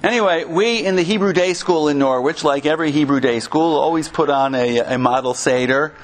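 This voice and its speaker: Higher-voiced American man, halting religious talk. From Connecticut, United States. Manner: conversational, halting, with humorous asides. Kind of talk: informal religious lecture with anecdotes.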